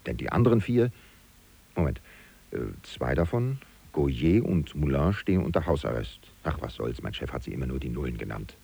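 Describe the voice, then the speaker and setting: A man playing a loyal, disillusioned political aide who muses and questions aloud to the listener in a German radio drama, with a gravelly voice. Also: sing-song, moderate pace, dark tone, exaggerated voice. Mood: wryly indignant. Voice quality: gravelly, very deep. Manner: musing, chatty, rhetorical questions. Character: loyal, disillusioned male aide to a fallen politician, wryly indignant.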